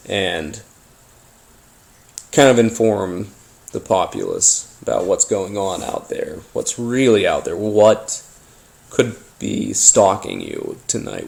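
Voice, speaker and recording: A man hosting a paranormal podcast, telling his story informally in a halting, rambling, conspiratorial way. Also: on the low side, creaky, darkish tone. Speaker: podcast host. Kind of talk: informal first-person storytelling monologue, paranormal audio drama.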